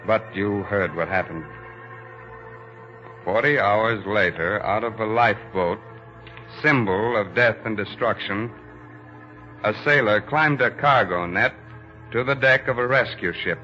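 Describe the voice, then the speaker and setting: A muffled vintage radio recording with faint music carries a solemn ship's doctor. His low voice is forceful and earnest, telling his story with deliberate dramatic weight. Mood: solemn, reflective. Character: earnest, reflective ship's doctor recounting a lifeboat rescue. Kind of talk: 1940s radio drama narration.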